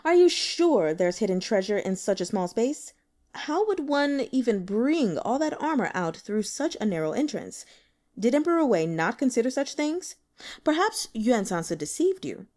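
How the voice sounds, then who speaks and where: A woman's voice: fairly high pitch, big pitch swings, normal speed, a little mellow. A woman voicing a languid, arrogant male martial artist in an audiobook, teasing and needling as he questions. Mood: skeptical. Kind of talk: audiobook character dialogue.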